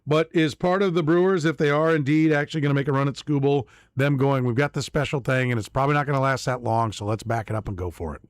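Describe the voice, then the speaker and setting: A man's voice: mid-range voice, fairly even intonation, quick pace, hardly any pauses, dry recording, studio quality. A man hosting a sports talk show, rambling through questions and playfully mocking himself with his guest. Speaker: sports radio host. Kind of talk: casual sports radio talk.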